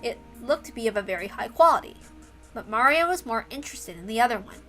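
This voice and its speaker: A woman reads a story aloud as narrator. Her voice is fairly high and bright, and music plays behind the expressive reading. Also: fast talker. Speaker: reader and narrator.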